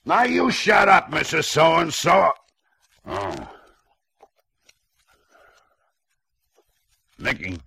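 Gravelly, slow-talking crook. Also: male voice, on the high side, huge pitch swings, bright, forceful tone, a little hiss or hum, full character voice. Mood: irritated. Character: drunken, dim, uneasy small-time crook in 1950s radio play. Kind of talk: 1950s radio drama acting.

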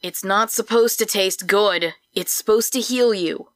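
A woman's voice: medium pitch, unhurried, no room sound, close to an everyday voice. A woman voicing a small, grumpy, bossy plant creature with a snippy manner in a comedic fantasy audio drama. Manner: snippy, impatient, boastful.

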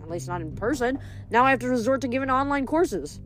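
A woman voicing a cheerful, boastful male farming god who chats in a bouncy, lively way. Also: high-pitched, fast, faint music behind, pitched above the performer's own voice. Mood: chatty.

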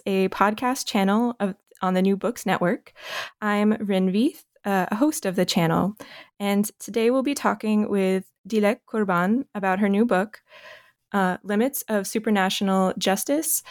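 A woman's voice: medium pitch, darkish tone, dry recording, studio quality. A woman hosting a podcast interview in a conversational way, hesitant and rephrasing as she goes.

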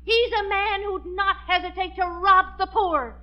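High, fiery campaigning wife. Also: female voice, fairly even intonation, measured pace. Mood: accusing, fervent. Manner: emphatic, oratorical. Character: earnest, fiery wife campaigning for local office. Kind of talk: 1940s radio comedy acting. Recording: dull old radio recording.

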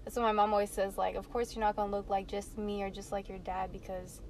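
A woman's voice: medium pitch, level tone, fast talker, darkish tone, slight background noise. A young woman in a casual group chat, talking hesitantly and often hedging with "I feel like". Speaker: panelist.

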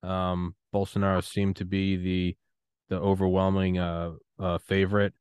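A man's voice: low voice, completely flat delivery, normal speed, darkish tone, clean tone, dry recording. A man hosting a podcast interview, talking in a crude, joking way. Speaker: podcast host.

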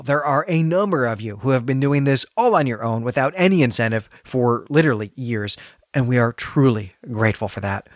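A man's voice: medium pitch, dry recording. A man with a mid-range voice hosts a podcast in a conversational style. He is chatty and appreciative as he addresses listeners, and the sound is dry, with very little room in it. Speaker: podcast co-host. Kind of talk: conversational podcast hosting and announcements.

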